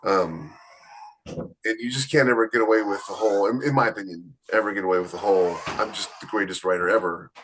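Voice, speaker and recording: A man's voice: lowish voice, brisk, fairly bright tone, a little hiss or hum, low-quality recording. A man co-hosting a podcast as an author, talking in casual chat and driving his points home by repeating them. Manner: emphatic, repeats points for stress.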